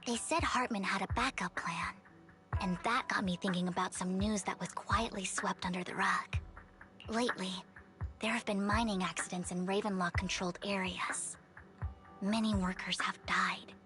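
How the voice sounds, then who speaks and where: A woman plays a devoted, wounded young heroine in a video game. Her voice is bright and clear-cut, with formal, measured, dramatic phrasing. Soft music runs underneath. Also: mid-range voice, steady tone, natural voice. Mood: serious, pensive. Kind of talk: English video game voice acting.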